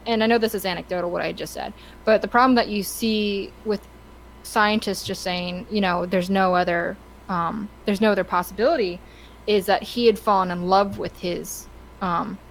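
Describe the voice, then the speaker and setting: Halting woman podcaster over noisy background. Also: medium pitch, fairly even intonation, a bit bright. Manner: halting, stop-start, searching for words. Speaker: podcast co-host. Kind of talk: casual opinionated discussion.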